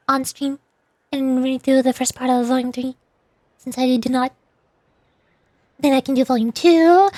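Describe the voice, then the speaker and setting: A woman's voice: high voice, dry recording. A woman livestreamer chatting casually to viewers, rambling and thinking aloud as she goes.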